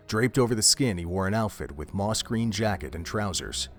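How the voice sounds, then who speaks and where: A man narrating a scripted audio drama in a measured, descriptive storytelling manner. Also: on the low side, expressive, soft background music.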